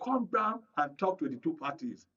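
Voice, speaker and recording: A man's voice: a little high, very animated, normal speed, sharp tone. A Nigerian man giving radio panel commentary as a media analyst, earnest and emphatic, repeating phrases for weight. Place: radio studio. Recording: muffled audio, distant from mic.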